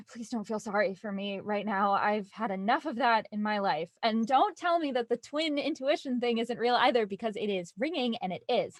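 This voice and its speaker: High-voiced, anxious young woman, played by an actress. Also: dry recording, studio quality, natural voice. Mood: defensive, wounded. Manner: halting, stammering, rambling when nervous. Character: anxious, stubborn, wry young woman, twin sister. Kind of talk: naturalistic audio drama acting.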